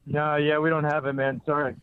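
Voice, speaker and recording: A man's voice: a little high, level tone, a little fast, smooth, no echo. A man talking casually and apologetically on the phone, like a shop owner taking a call. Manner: apologetic, informal. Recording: narrow tinny phone line.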